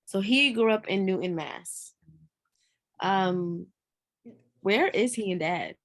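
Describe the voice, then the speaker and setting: Adult daughter, read by a woman: everyday middle-pitched voice, busy, teasing, defensive, naturalistic table-read delivery. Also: expressive, slow pace. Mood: casual. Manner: casual, naturalistic. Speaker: actor in a script read. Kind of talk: live screenplay table read.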